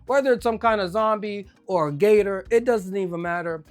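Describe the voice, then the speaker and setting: A person with a Jamaican accent giving a casual video game review, enthusiastic and often repeating words when excited. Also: mid-range voice, fairly quick, soft background music.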